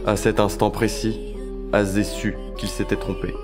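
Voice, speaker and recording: A man's voice: lowish voice, flat delivery, unhurried, music in the background. A man narrating a scripted audio drama in a sober, literary storytelling manner.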